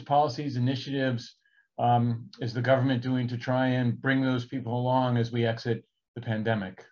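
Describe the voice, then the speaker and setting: Middle-aged man, office video call, dull audio, measured questioner. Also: mid-range voice, darkish tone. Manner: thoughtful, builds a question step by step. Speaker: panel questioner. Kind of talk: asking a long question in a virtual town hall. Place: office with large windows, indoors.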